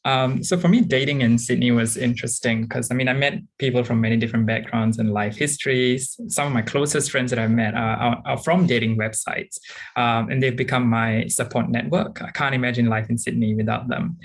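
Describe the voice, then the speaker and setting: A man, frankly and thoughtfully sharing personal experiences as a panelist, often pausing with ums. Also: medium pitch, fairly even intonation. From Singapore. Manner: reflective and frank, with frequent ums. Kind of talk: candid personal account on a panel.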